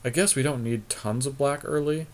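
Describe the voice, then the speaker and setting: A man co-hosting a podcast, chatting casually and thinking aloud in halting, repetitive phrases as he works through card choices. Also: medium pitch, darkish tone. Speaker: podcast co-host. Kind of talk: casual chat while building a card game deck.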